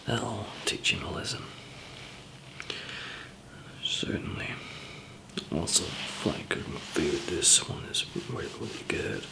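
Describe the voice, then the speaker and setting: A man performs an ASMR roleplay in a hushed, whispery murmur. His low voice is heavily gravelly and creaky. He explains things gently and haltingly, taking his time between phrases. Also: expressive, low-quality recording. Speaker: ASMR roleplayer playing a survivor camp member handing out supplies.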